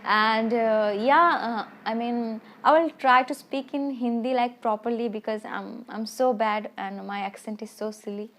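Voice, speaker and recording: A woman's voice: a little high, fairly even intonation, unhurried, hardly any pauses, a bit bright. A woman who rambles through a casual product review, full of restarts and fillers, a little self-conscious. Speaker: beauty vlogger.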